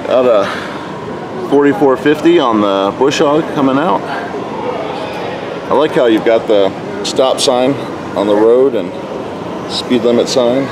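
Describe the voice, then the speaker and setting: A man hosting a casual walk-around interview at an indoor farm toy show, chatty and relaxed with many fillers, recorded on a handheld camera mic. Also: medium pitch, unhurried, long pauses. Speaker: show host filming a toy display. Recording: loud background noise in a show hall.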